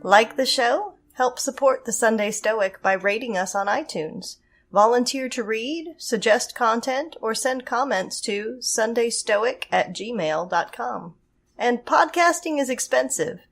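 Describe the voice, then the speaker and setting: A woman reading a short scripted promo for a podcast in a brisk, friendly way. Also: a little high, animated, creaky. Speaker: podcast announcer.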